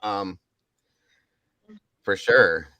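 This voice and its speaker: A man's voice: fairly low pitch, very slow, bright, forceful tone, no echo, muffled recording. A middle-aged man hosting a live stream in casual, chatty hangout style.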